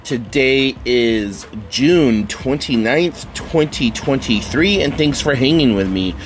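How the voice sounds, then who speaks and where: Male podcast host, stuffed-up and nasal from a cold, unhurried delivery, chatty and rambling with loose fillers and the odd swear. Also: medium pitch, lively intonation, soft background music. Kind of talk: casual solo podcast chat.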